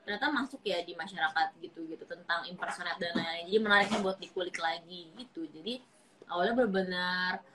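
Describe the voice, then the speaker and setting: A young woman from Java, a voice-over talent, chatting in a casual interview in a lively way. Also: fairly low pitch, a little hiss or hum, poor audio. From Java, Indonesia. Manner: chatty, lively, drops into quick voice impressions.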